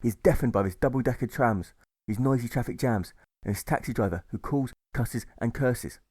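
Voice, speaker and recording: A man narrates a story in rhyme with a theatrical, rhythmic delivery. His voice is medium in pitch and deliberate. The recording is close and very dry. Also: a little mellow, slightly rough, dry recording. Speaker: author reading own audiobook. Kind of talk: rhyming scripted narration.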